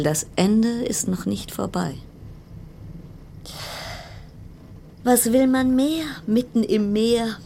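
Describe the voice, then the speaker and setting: An actress playing a brittle, self-reassuring woman isolated in a ship's cabin, musing haltingly and repetitively in in a radio play, at times dropping into whispers. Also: medium pitch, vocal fry, darkish tone, slight background noise. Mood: brittle reassurance. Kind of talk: German radio play monologue.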